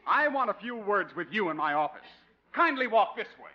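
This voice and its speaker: An actor playing a pompous, blustering station manager and political rival in a 1950s radio comedy. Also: male voice, sits high, sing-song, a little fast. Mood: bossy. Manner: pompous, sneering, theatrical laugh. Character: pompous, blustering middle-aged station boss. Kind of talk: 1950s radio sitcom acting. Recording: dull, noisy old 1950s radio broadcast.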